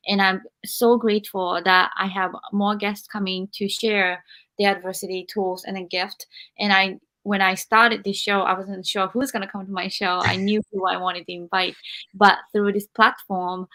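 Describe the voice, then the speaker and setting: A woman from Japan, a non-native English speaker, hosting a live podcast interview and encouraging her guest while pausing to search for words. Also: mid-range voice, fairly bright tone, low-quality recording. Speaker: podcast host.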